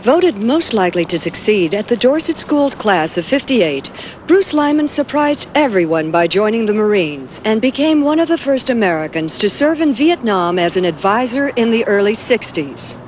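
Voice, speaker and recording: Actress as a lively-pitched female news correspondent. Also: close to an everyday voice. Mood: serious, informative. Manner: formal, measured newsreader delivery. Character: serious female TV news correspondent narrating a background report. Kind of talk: scripted news narration in a TV drama. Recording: slightly noisy 1980s TV broadcast.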